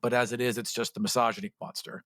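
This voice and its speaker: A man with a medium-pitched voice co-hosts a casual discussion. He argues his opinions emphatically. The sound is dry and free of echo. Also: level tone, quick pace, fairly bright tone.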